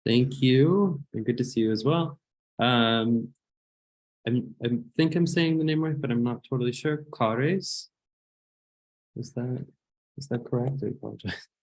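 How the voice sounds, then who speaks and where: A man hosts a seminar over a video call. He speaks hesitantly, often pausing with fillers and apologizing. His voice sits at an ordinary middle pitch. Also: a little mellow, rough recording. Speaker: seminar host. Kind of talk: online seminar introductions.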